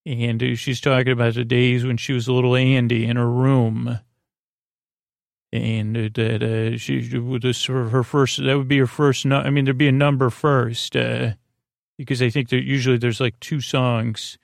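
Creaky-voiced rambling male podcast host. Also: medium pitch, level tone, normal speed, no room sound, clean studio sound. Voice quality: creaky, gravelly. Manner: hesitant, meandering, with stammered false starts. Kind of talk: rambling, whimsical improvised bedtime monologue.

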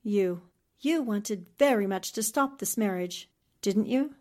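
An actress playing a shrewd, confident newspaperwoman sleuth in a 1940s-style radio mystery, deliberate and probing. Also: medium pitch, darkish tone, close to an everyday voice. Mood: accusing.

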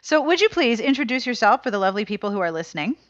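An American woman hosting a podcast, reading the intro and then chatting with a guest in a joking, quick way. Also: a little high, big pitch swings, dry recording, lo-fi sound. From United States. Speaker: podcast host. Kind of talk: casual podcast chat and read intro.

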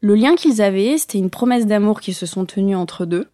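A woman's voice: mid-range voice, animated, a little fast, dark tone, no echo, studio quality. A middle-aged woman from France talking reflectively as an interview guest, with many hesitations. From France, born in Bayonne. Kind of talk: casual interview talk.

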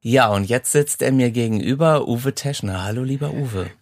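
A man's voice: mid-range voice, moderate pace, darkish tone, no echo, faint music behind. A man presenting and interviewing as the host of an audiobook talk podcast, on a close studio microphone. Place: recording studio.

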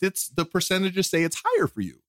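Man, podcast co-host, lively swooping pitch, jokey banter, dry close sound. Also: a little fast, no room sound. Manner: animated, jokey, acts out quoted people. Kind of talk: casual chat.

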